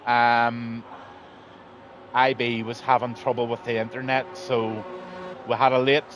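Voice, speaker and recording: A man's voice: mid-range voice, flat delivery, a little slow, highs cut off, a little hiss or hum. A man, losing his voice, commentating a live online race in a rambling, blunt way with lots of ums. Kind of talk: live sim racing commentary.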